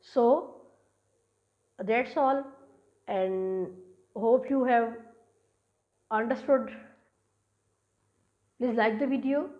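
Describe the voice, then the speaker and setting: A woman's voice: a little high, very slow, pauses often. A woman with a Pakistani accent teaching English grammar in a recorded lesson, explaining step by step and restating points with small restarts. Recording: dull lo-fi sound.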